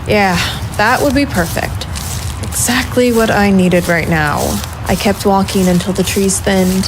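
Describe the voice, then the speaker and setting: A woman's voice: medium pitch, a little slow, over music, close to an everyday voice, rough recording. A woman playing a hardboiled, sardonic private investigator in a noir audio drama, with a wry, self-mocking manner. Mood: wry, tense. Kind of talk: first-person noir audio drama narration and dialogue.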